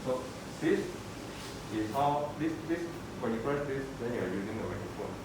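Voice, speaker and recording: A man giving a hands-on spoken demonstration as an instructor, explaining in a halting, repetitive way. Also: medium pitch, lively intonation. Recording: echoey room, distant mic, noisy background.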